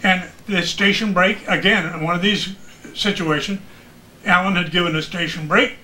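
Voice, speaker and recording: An older man reminiscing casually as a former radio broadcaster, an animated storyteller who acts out the exchanges he remembers. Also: high-pitched, normal speed, slight background noise, low-quality recording. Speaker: retired radio broadcaster recalling his career.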